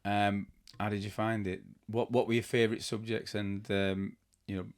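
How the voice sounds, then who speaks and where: A man in his fifties hosting a casual podcast interview in a cluttered home studio, talking haltingly with many fillers and restarts. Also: on the low side, little pitch movement, dry recording. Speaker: podcast host. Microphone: studio mic with headphones.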